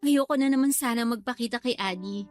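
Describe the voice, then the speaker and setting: An actress playing a young mother in a radio drama, placating and measured but quietly stubborn. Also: fairly high pitch, soft background music, natural voice. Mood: pleading, regretful. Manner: pleading, measured, quietly defiant. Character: young mother, brother's returned girlfriend, placating yet stubborn. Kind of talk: Tagalog radio drama acting.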